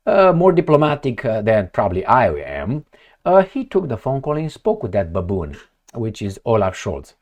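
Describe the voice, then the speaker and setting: A man giving blunt, sarcastic political commentary, firing off rhetorical questions and swearing as he reacts to news reports. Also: fairly high pitch.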